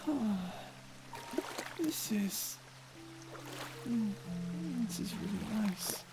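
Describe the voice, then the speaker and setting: Voice actor as a shy, slow-talking octopus creature. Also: medium pitch, expressive, vocal fry, over loud music, heavily acted. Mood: dreamy, drowsy. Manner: timid, stammering, hesitant. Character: shy, gentle, curious octopus traveller. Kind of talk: ASMR story roleplay acting.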